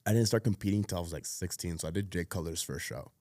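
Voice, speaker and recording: Deep-voiced man telling his story. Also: fast, darkish tone, no room sound. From Cuba. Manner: laid-back, many fillers, drops to a mutter at phrase ends. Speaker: podcast guest. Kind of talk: casual storytelling chat.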